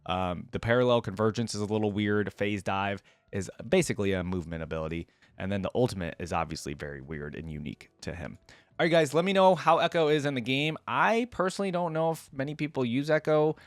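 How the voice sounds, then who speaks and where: A man's voice: on the low side, animated, fast talker, no echo. A man giving casual reaction commentary on a video game, thinking aloud and reading descriptions out.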